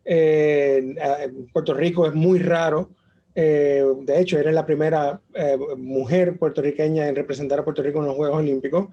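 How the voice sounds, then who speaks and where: A woman's voice: deep voice, fairly even intonation, moderate pace, dull audio. A woman hosting an interview, hesitant and repeating words as she asks questions over a video call. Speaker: host.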